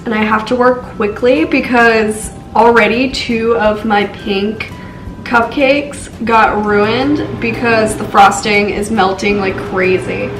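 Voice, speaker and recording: A woman's voice: on the high side, steady tone, slow pace. Congested young woman hosting a baking vlog. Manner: chatty, lots of "so" and "just". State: congested, stuffy nose. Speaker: baking channel host. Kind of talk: casual baking tutorial. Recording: roomy, from a distance, faint music.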